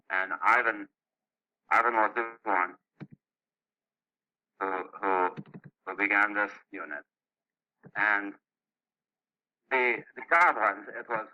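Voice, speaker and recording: A man telling a story in character as a crude, mocking male goblin raider, speaking in broken grammar and repeating his words. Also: low-pitched. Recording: dull lo-fi recording.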